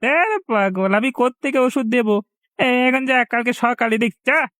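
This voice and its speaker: A man's voice: extremely high pitch, moderate pace, a bit bright, no room sound, studio quality. A man voicing a self-serving, dismissive cartoon villager with a brusque, grumbling way of talking. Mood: sleepy, annoyed.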